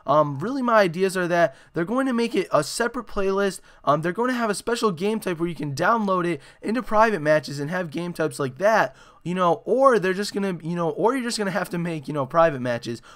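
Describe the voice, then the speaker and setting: A young man with a hoarse, raspy voice, giving excitable gameplay commentary full of "you know" and "uh". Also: high-pitched, a little fast, hardly any pauses. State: hoarse after a concert. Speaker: gaming channel host. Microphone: desktop microphone.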